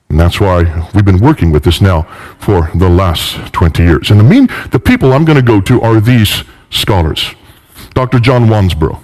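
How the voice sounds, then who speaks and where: A man in middle age delivers an animated, argumentative lecture. His pitch swings widely as he drives points home, posing rhetorical questions and repeating phrases for emphasis. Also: low-quality recording. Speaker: lecturer in religious history.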